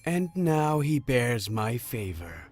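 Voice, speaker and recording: A man playing a sly, persuasive god of deception in a fantasy audio drama, talking in a deliberate, theatrical way. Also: medium pitch, big pitch swings, darkish tone, no echo. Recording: music under the voice.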